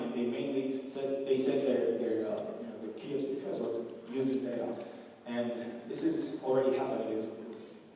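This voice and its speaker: A man's voice: mid-range voice, mellow, slight background noise. A young man from Romania giving a conference talk, loose and full of fillers and restarts. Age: young adult. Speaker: conference speaker. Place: large conference hall. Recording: echoey hall recorded from a distance.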